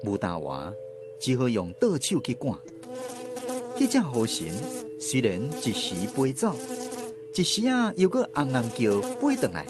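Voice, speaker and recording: An actor playing a theatrical storyteller, narrating an old folk tale in a radio drama. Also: male voice, mid-range voice, huge pitch swings, a little slow, music in the background. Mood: dramatic, suspenseful.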